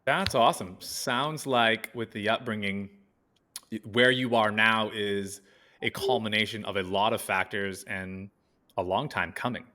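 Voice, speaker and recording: A man's voice: on the low side, measured pace, bright, forceful tone. A man hosting an interview podcast, asking casual, upbeat, rambling questions. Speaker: podcast host.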